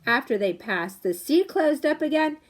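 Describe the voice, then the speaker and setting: A woman's voice: high-pitched, very animated, moderate pace, pitched above the performer's own voice. A woman voicing an old grandmother telling a grave tale in a picture-book read-aloud. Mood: dramatic storytelling.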